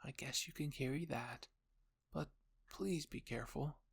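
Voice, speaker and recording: A man's voice: mid-range voice, a little slow, vocal fry, slightly rough, dry recording, studio quality. A man voicing a bossy but concerned young queen in a roleplay story, with a scolding, insistent manner.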